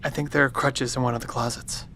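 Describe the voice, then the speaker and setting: Male actor as wounded, remorseful man, weak and halting delivery, audio-drama style, faint background noise. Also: mid-range voice, level tone, pushed and bright, natural voice. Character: injured, guilt-ridden man in charge of students.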